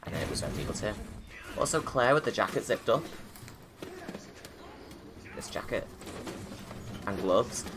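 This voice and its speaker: A man's voice: fairly low pitch, sing-song, normal speed, long pauses, background noise. A man giving chatty, informal creator commentary over a film. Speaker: video creator. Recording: background film sound under commentary. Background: game movie soundtrack and ambience.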